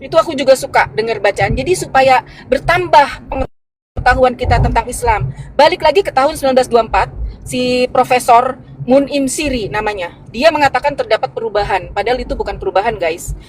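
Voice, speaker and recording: High-voiced woman explaining casually. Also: brisk, a little hiss or hum, low-quality recording. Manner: explains with restarts and fillers, addresses viewers as guys. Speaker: host of a live religious debate stream. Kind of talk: casual live-stream talk. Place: on the road.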